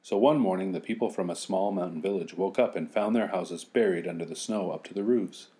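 A middle-aged man with a low voice reads a fairy tale to children. His storytelling slips now and then into joking commentary. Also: fairly quick, dark tone. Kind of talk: animated children's story reading with joking asides.

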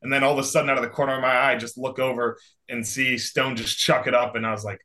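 A young man co-hosting a podcast, chatting casually and acting out quotes in an animated way. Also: medium pitch, quick pace. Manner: animated storyteller, acts out quotes. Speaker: podcast co-host. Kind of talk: casual chat.

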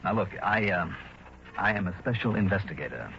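An actor playing a brusque, wry insurance investigator, who also narrates in the manner of a 1960s radio detective drama. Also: male voice, deep voice, expressive, brisk, gravelly, a little hiss or hum. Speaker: series lead and narrator. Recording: dull vintage radio recording, music behind.

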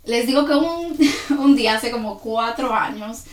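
A woman's voice: on the high side, a little slow, reverberant. A woman with a Dominican accent, hosting her own podcast, talks in a casual personal monologue and laughs often out of nerves. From born in New York, raised in the Dominican Republic. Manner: nervous, laughs often while talking. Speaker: podcast host.

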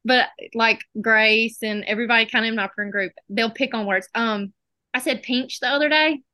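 A woman's voice: fairly high pitch, fairly even intonation, moderate pace, pushed and bright, no echo. A young woman from South Alabama with a Southern accent, chatting as a podcast guest and telling stories with quoted back-and-forth. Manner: chatty storytelling, acts out quoted exchanges. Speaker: dental student guest. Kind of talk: casual chat.

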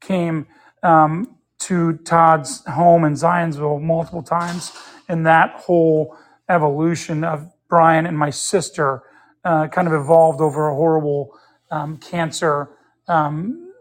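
A man's voice: fairly high pitch, level tone, slow pace. A man in his fifties, a guest in a casual sports interview, speaking in an earnest, opinionated way as he thinks aloud. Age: 50s. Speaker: interview guest, pickleball player.

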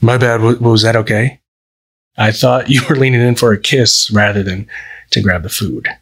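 A man puts on a sweet, confident flirt for an audio story. The voice is husky and breathy, with a gentle, mischievous manner. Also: on the low side, steady tone, normal speed. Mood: sheepish.

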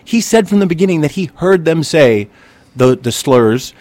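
A man hosting a podcast, talking in an animated, rambling way and often restarting his sentences as he thinks aloud. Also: on the high side, normal speed, no room sound. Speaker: podcast host. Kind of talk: casual conversational chat.

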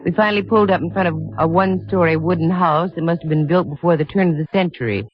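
A woman's voice: fairly low pitch, quick pace, lightly acted. An actress playing a shrewd, matter-of-fact female private detective in 1950s radio style, narrating her case with a wry edge. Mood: grave, narrating. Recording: dull old radio recording with music.

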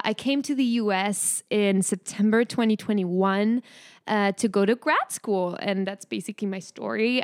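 A woman's voice: medium pitch, clear, resonant tone. A young woman from France with a French accent, co-hosting a casual podcast chat, friendly, with frequent laughs and fillers. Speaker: podcast co-host.

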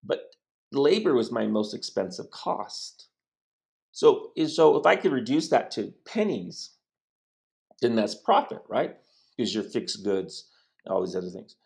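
A man's voice: mid-range voice, big pitch swings, unhurried, vocal fry, darkish tone. A man in his sixties from the United States, hosting a casual interview and telling long rambling stories with frequent restarts. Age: 60s. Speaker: host.

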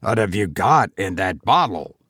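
A man voicing a stern, menacing goblin with a deep voice, taunting slowly in a storybook reading. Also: sing-song, fairly bright tone, slightly rough, no room sound, clean studio sound, full character voice.

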